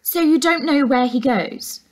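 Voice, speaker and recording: Nosy, sugary housekeeper in a high voice, put on by a woman. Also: measured pace, a little mellow, low-quality recording. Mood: feigned concern, prying. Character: nosy, falsely sweet middle-aged housekeeper prying for gossip.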